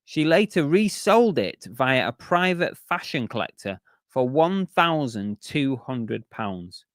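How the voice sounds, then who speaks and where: A man's voice: mid-range voice, very animated, takes it slowly, no echo, studio quality. A man hosting a casual live stream about reselling, rambling with fillers and restarts while his voice is giving out. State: losing his voice.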